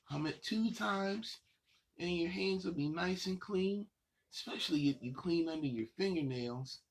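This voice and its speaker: A gravelly-voiced man hosts a story time for little kids. He takes his time, speaking slowly in simple, repeated phrases. His delivery is encouraging and reassuring, with a husky rasp. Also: high-pitched. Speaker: host of a children's story time show. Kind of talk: addressing young children directly.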